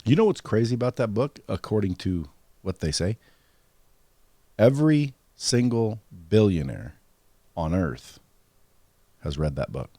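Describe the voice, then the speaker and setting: Blunt, deep-voiced podcast host. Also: male voice, big pitch swings, unhurried, pauses often, dark tone, dry recording. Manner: blunt, profane storytelling. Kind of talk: casual interview chat.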